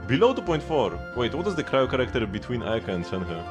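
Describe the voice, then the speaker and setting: A man casually commentating on a game livestream, thinking aloud haltingly and repeating words as he goes. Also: mid-range voice, very animated, music in the background. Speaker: live streamer.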